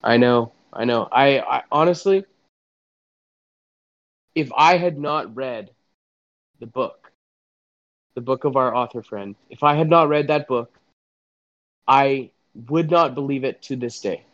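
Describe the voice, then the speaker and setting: Slow, solemn Canadian man on a dull mic. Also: medium pitch. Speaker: podcast co-host. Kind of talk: deadpan mock-serious comedy chat. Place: home, remote recording. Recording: dull, dry, lo-fi sound.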